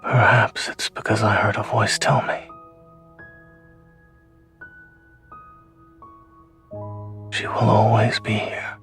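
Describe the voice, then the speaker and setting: A man acting a composed male doctor in a romantic audio drama, with a lowish voice and soft, measured delivery. He is dryly witty and deadpan, yet quietly tender, and music plays clearly behind him.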